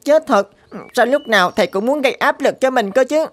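A woman's voice: sits high, lively intonation, a little fast. A woman voicing a lazy, carefree young weasel pupil in a children's cartoon, whiny and grumbling. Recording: music behind the voice.

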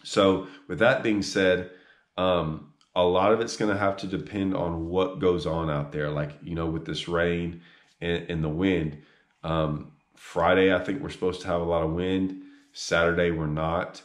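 A deep-voiced man in his thirties with a drawl talks earnestly to a camera, repeating himself and restarting sentences with lots of ums. The sound is roomy and echoey, picked up by a camera mic a few feet off. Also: steady tone, a little slow. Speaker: fishing guide giving a tournament report. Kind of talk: casual spoken fishing advice to camera. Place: small room indoors. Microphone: camera on a tripod a few feet away. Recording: echoey room.

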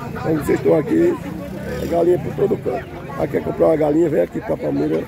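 A man's voice: fairly high pitch, rapid-fire, gravelly, crowd noise. An older man, chatting casually to viewers while he drives and walks around a market. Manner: chatty, points things out to viewers. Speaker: vlogger. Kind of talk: casual vlog narration. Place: in a car and at an outdoor street market.

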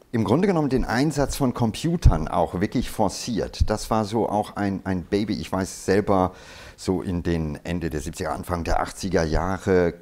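A middle-aged man moderating a stage conversation in an indoor hall, asking questions in a lively, animated way with quick asides. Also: low voice.

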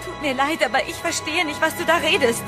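A woman's voice: sits high, brisk, close to an everyday voice. An actress playing a frightened young woman who narrates her ordeal in a horror audio drama, dramatic and suspenseful. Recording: music behind the voice.

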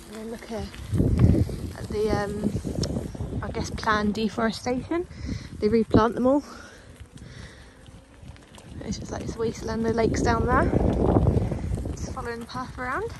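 A woman's voice: steady tone, a little slow, long pauses. Chatty woman vlogging outdoors over noise. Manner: chatty, coaxing her dogs in a high sing-song voice. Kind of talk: casual vlog narration while riding. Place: forest track outdoors, on an electric bike.

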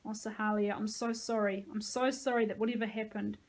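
A woman voicing a tough, motherly grey-haired army reservist with a gravelly voice and folksy manner, in an audiobook. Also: medium pitch, fairly even intonation, brisk, lightly acted. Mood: tender, apologetic. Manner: bellowing orders, folksy endearments.

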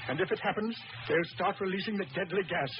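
An anxious, guilt-ridden male scientist, played by a radio actor, rather high in voice and quick in speech. He is earnest and pleading in the 1930s radio drama manner, on a noisy old recording. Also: muffled recording, lightly acted. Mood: anxious, resigned.

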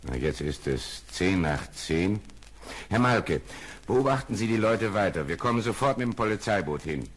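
An actor playing a brisk, commanding police sergeant in a radio play, clipped and authoritative. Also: male voice, fairly low pitch, expressive, close to an everyday voice. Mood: businesslike. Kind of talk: German radio play acting. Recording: old radio play, loud background noise.

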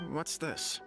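A man voicing a dignified, courteous government official in a video game, with formal, diplomatic delivery. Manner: formal, polite, deliberate. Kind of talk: scripted English video game voice acting. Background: game music.

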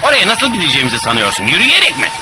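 Bossy cartoon pirate captain: sarcastic, blustering, highish voice with wild swings, clear background noise. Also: male voice, wildly swooping pitch, very fast. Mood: doubtful. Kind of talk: animated cartoon dub. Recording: clearly noisy cartoon soundtrack.

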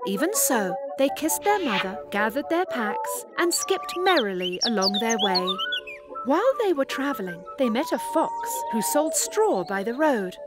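A woman tells a children's story in an expressive, fairly high voice. Her pitch moves a great deal, and music plays loudly underneath. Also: normal speed. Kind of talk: scripted children's story narration.

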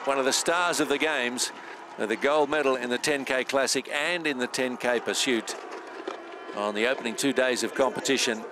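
Male sports commentator, measured play-by-play. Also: mid-range voice, noisy background. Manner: measured, steady play-by-play with filler pauses.

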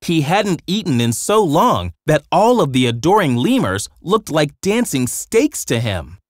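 Animated male storybook narrator. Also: a little high, measured pace, no room sound, clean studio sound. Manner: dramatic storytelling. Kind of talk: scripted children's story narration.

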